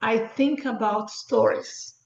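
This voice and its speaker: A woman's voice: a little high, fairly even intonation, takes it slowly, creaky, a little mellow. A middle-aged woman from Brazil, a native Portuguese speaker with a Brazilian accent, chatting enthusiastically as a guest author. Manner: enthusiastic, frequent restarts and laughs. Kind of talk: casual interview chat. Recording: echoey, dull lo-fi sound.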